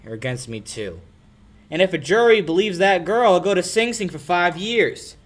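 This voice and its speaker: Young man playing a hapless, animated lawyer. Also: sits high, normal speed. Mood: pleading. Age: young adult. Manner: flustered, earnest. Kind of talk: 1940s radio play acting.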